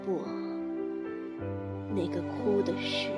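An elderly, muddled mother in a radio drama, played by a woman. She rambles very slowly and wistfully, almost like a child, a little away from the mic over clear background music. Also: medium pitch, flat delivery, recorded from a distance, natural voice. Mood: childlike, hopeful. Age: older. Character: confused elderly mother in a psychiatric hospital, wistful. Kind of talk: Chinese audio drama acting.

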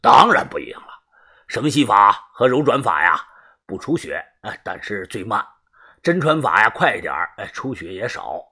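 A man voicing a coarse, menacing gang boss who talks low and gruff. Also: big pitch swings, moderate pace, pushed and bright, exaggerated voice.

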